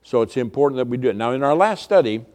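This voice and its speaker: A male pastor with a booming, medium-pitched voice teaches scripture. He speaks in a deliberate, instructive way, leaning on key words and repeating phrases for emphasis. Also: expressive, darkish tone. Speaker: pastor teaching a Bible study. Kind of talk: expository sermon.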